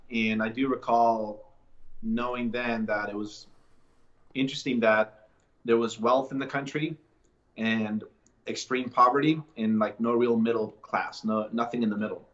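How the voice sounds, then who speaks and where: A man from Colombia, a podcast guest, telling his life story in a relaxed, reflective way with many fillers and restarts. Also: medium pitch, lo-fi sound. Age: adult, a father. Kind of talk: casual interview storytelling.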